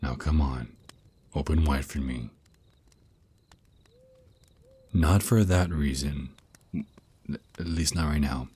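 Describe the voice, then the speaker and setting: A man's voice: rumbling low, breathy edge, heavily acted. A man voicing a teasing, protective vampire naga boyfriend who mentors his lover in an intimate, reassuring ASMR roleplay. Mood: teasing, smug. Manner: soft teasing endearments, reassuring, unhurried. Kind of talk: ASMR roleplay, intimate one-sided dialogue.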